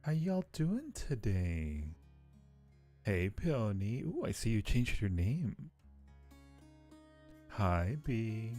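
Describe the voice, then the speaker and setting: A man's voice: fairly low pitch, very animated, extremely slow, pauses often, mellow, soft background music. A man chatting casually and playfully with viewers on a livestream, teasing them affectionately. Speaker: live streamer hosting a chat.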